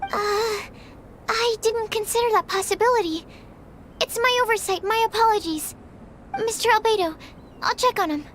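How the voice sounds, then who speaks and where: A woman's voice: very high-pitched, moderate pace, long pauses, a bit bright, a little hiss or hum. A woman playing a timid, earnest young female alchemy assistant in a video game, hesitant, polite and apologetic. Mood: contrite.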